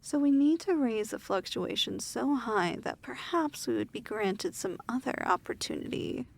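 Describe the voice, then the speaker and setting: A woman playing a weary, imperious demon lord in an ASMR fantasy roleplay, speaking formally and softly with measured diction. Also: on the high side, animated, gravelly. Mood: calculating.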